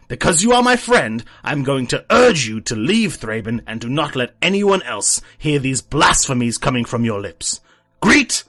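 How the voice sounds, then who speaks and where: A man's voice: on the high side, pushed and bright, full character voice. A man playing a stern, devout and loyal church soldier in a fantasy audio drama, solemn and formal.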